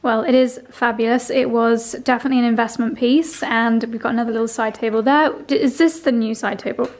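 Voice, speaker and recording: A woman's voice: on the high side, fairly even intonation, a little fast. A woman vlogging casually, effusive and gushing about home and garden. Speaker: lifestyle vlogger. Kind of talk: casual vlog.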